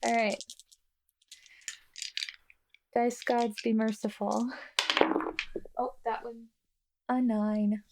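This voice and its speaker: Wry woman gamer with creaky voice. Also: fairly high pitch, level tone, slow, heavy background noise. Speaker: tabletop game player. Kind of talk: casual game banter.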